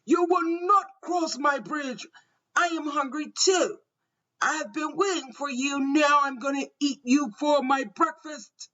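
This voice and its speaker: A woman voicing a mean, greedy male troll in a storybook, making loud, drawn-out, threatening demands. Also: sits high, highs cut off, voice pushed up. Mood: angry, threatening.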